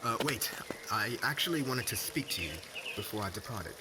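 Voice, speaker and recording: A man's voice: mid-range voice, animated, moderate pace, noisy background, lightly acted. A man playing an earnest, polite and somewhat hesitant fire mage hero in an ASMR roleplay. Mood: earnest, nervous. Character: earnest heroic young male fire mage, shy suitor.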